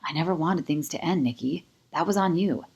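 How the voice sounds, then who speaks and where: A woman's voice: low-pitched, fairly quick, darkish tone, breathy edge. Woman voicing Kai, an intense young man. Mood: hurt, intense.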